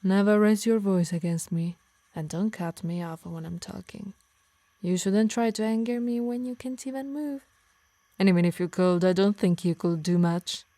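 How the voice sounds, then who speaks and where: Woman acting a soft, possessive yandere harpy, intimately close. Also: mid-range voice, no echo, close to an everyday voice. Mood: cold, threatening. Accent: Italian. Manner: soft and breathy, slipping into whispers, slow menacing emphasis. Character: possessive yandere harpy woman, tender yet menacing, obsessed. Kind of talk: intimate ASMR roleplay acting.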